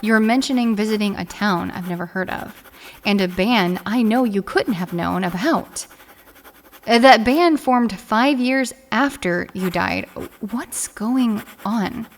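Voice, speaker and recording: A woman's voice: medium pitch, a little slow, a little hiss or hum, natural voice. A woman playing a grieving, bewildered partner seeking answers in an audio drama, with an uneasy, questioning delivery. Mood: confused, uneasy.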